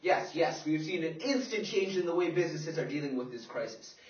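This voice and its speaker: Man as earnest 1930s radio anchor, slightly high voice, echoey. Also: animated, brisk, close to an everyday voice. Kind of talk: scripted news broadcast acting. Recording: echoey, distant, dull amateur recording.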